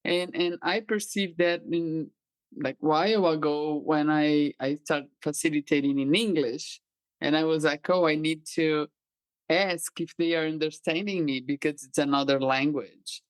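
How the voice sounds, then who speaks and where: A woman whose first language is Portuguese, a guest facilitator, telling a lively story with a very animated delivery. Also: low voice, a little slow, dry recording. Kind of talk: conversational interview.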